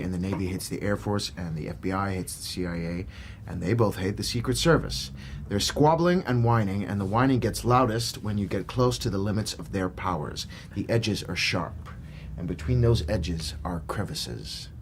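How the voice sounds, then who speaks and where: An actor playing a cool, world-weary government agent in a radio drama, measured, dry and probing. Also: male voice, on the low side, a little hiss or hum, close to an everyday voice. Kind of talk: naturalistic radio drama acting.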